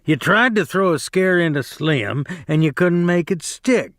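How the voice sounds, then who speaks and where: A man's voice: sits high, expressive, a little slow, a bit bright, no echo, pitched above the performer's own voice, full character voice. Guy putting on a mocking tough ranch hand, sneery, a bit raised, dry. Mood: taunting. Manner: sneering, contemptuous.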